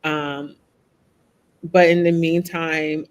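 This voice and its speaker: A woman's voice: low voice, flat delivery, very slow. A woman speaking as a podcast guest, talking openly and reflectively, often checking in with "right?". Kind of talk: candid conversational interview.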